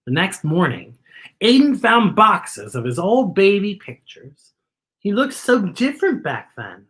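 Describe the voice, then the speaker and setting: Kids' read-aloud host, mid-pitched and husky, very expressive, pointing things out to listeners. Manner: expressive, exaggerated storytelling, pausing to point out pictures. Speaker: children's story-time host reading a picture book aloud. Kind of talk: animated read-aloud for children with asides to the listeners.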